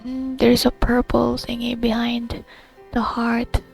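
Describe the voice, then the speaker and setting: A woman's voice: a little high, monotone, slow, vocal fry, rough recording. A woman giving casual, giggly, offhand commentary while playing a video game. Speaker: gamer recording her playthrough. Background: faint game music.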